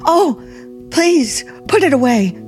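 An actress playing a timid, polite young aspiring writer, halting and nervous, in 1950s radio suspense style. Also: female voice, high-pitched, very animated, a little mellow, faint music behind, exaggerated voice. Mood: frightened, hesitant.

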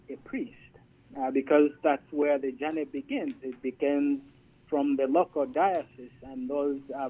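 A man from Zambia, a priest and Air Force chaplain, speaking as a guest in a radio interview and often repeating words as he searches for them. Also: fairly high pitch. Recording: tinny phone line.

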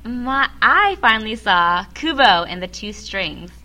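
Bright-voiced chatty woman podcaster. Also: medium pitch, big pitch swings, a little slow, a little hiss or hum. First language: Vietnamese. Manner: chatty, loose storytelling with many fillers. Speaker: podcast co-host. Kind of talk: casual chat.

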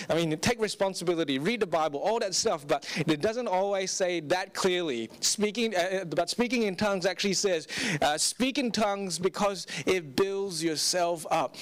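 Young male pastor from Singapore, slightly high voice, earnest sermon delivery with frequent restarts. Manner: earnest, plain-spoken, repeats words when starting sentences.

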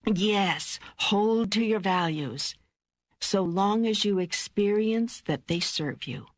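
A woman narrating an audiobook, voicing God as a serene, patient, all-knowing teacher in a measured, deliberate, emphatic way. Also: medium pitch, expressive, dry recording. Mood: instructive. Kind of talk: scripted audiobook reading of spiritual dialogue.

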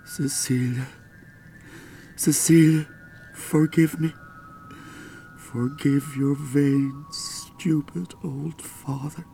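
An anguished, creaky-voiced old father. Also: fairly high pitch, fairly even intonation, dark tone, slight background noise, heavily acted. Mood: grieving. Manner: halting, pleading. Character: anguished, frightened, remorseful old father facing judgment. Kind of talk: radio play acting.

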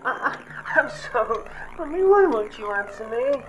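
Woman acting a very high, frightened little ghost boy. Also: animated, a little slow, low-quality recording. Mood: frightened, pleading. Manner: plaintive, stammering. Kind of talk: dramatic audio play acting.

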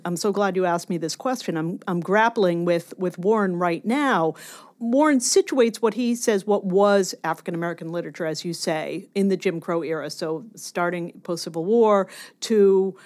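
Low-pitched woman explaining as a scholar. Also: normal speed, a little mellow. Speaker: scholar being interviewed. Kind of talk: explanatory academic conversation.

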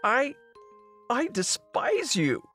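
A defiant young princess, acted out by a male narrator speaks in a very high voice with big swoops of pitch. She is emotional and indignant, exclaiming her lines as in a storybook tale. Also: huge pitch swings, extremely slow, bright, forceful tone, breathy edge, faint music behind, full character voice. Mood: bitter. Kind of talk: storybook-style character voice.

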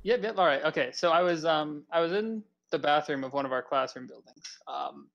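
A young man with a somewhat raised voice tells a story to his friends on a podcast. He rambles, stacking up "like" and "um", and his retelling stays animated throughout. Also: a little high, fairly quick, vocal fry, highs cut off. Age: young adult. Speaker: podcast co-host. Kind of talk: casual storytelling among friends.

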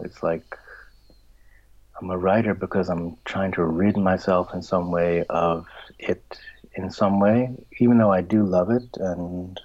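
A middle-aged man talks about his work in a slow, reflective drawl, hunting for words and often starting over. His voice sits low and dark, and some phrases sink into a breathy whisper. The recording is dull and lo-fi. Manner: halting, searching, many restarts, trails into a soft whisper. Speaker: filmmaker and writer. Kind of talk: reflective interview answers.

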